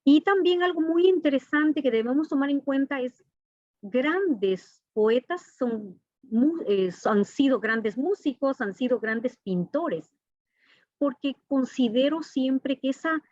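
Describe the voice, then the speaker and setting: A woman's voice: sits high, animated, slow, darkish tone. A woman from Ecuador, giving earnest, formal, explanatory answers as an interview guest. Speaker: interview guest, artist and professor. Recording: dull, echoey lo-fi call audio.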